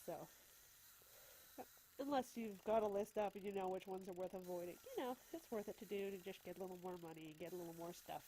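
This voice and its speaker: A woman's voice: mid-range voice, fairly quick, dark tone, slight background noise, rough recording. A woman with a stuffy cold talking casually as a let's play host, explaining the game as she goes with wry asides. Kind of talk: casual gameplay commentary.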